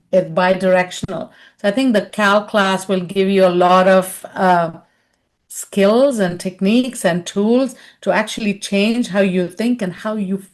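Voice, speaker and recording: Animated middle-aged woman on a webinar call. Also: mid-range voice. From India. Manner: animated, acts out quoted voices, conversational. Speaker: webinar speaker and consultant. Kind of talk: webinar Q&A answer.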